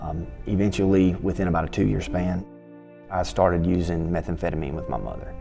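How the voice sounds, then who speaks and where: A man's voice: deep voice, brisk, gravelly. A man from Georgia telling his recovery story earnestly. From Haralson County, Georgia. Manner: earnest, with fillers and restarts. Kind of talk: personal recovery testimonial. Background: soft music.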